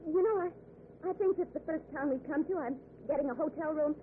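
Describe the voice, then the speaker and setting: An actress playing an anxious, suspicious passenger in an old-time radio thriller, uneasy and probing. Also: female voice, high-pitched, a little fast, close to an everyday voice. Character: anxious, suspicious female passenger, wary and questioning. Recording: tinny, noisy old radio broadcast recording.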